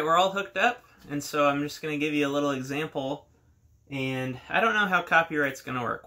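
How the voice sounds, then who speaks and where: A man in his thirties with a bright, forward voice and mid-range pitch. He talks casually as a hobby video host, walking through each step in plain words. The sound is of a small indoor workshop. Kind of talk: casual hands-on tutorial talk.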